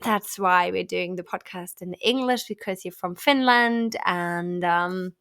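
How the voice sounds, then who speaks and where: A woman's voice: fairly low pitch, measured pace, a bit bright, clean tone. German-accented woman, podcast host, bubbly and effusive. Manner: effusive, bubbly, laughs often. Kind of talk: podcast interview.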